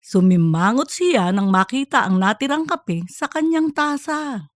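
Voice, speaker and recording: Woman narrator, animated and dry-recorded. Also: on the high side, measured pace, no echo. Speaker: audiobook narrator. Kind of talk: scripted comic story narration.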